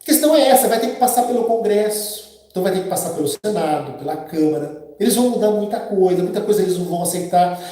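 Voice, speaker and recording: A man's voice: high-pitched, expressive, quick pace, hardly any pauses, dark tone. A man, hosting a casual live stream and chatting with viewers by name in a rambling, opinionated way. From Brazil. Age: 50s. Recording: very echoey room.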